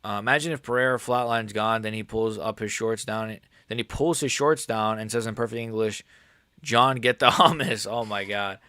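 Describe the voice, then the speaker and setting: A man in his early twenties with a fairly low voice, recorded close and dry. He chats casually as a livestream host, using slang and swearing freely. He often trips over his words and repeats phrases as he thinks aloud. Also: steady tone, fairly quick, few pauses, a bit bright, smooth, no room sound. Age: early 20s. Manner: stumbling, repeats himself, slangy and profane. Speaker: livestream host answering chat. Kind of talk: casual livestream chat about combat sports.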